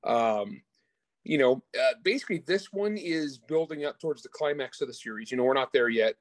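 Male author as interview guest, mid-pitched, informal and enthusiastic, very little room sound. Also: lively intonation, a little fast, no echo, clean studio sound. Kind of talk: casual interview chat about their own books.

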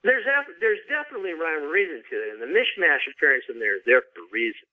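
A man's voice: on the high side, sing-song, fast talker, no room sound, phone-quality sound. A man being interviewed as an author, explaining things casually and informally with frequent conversational asides.

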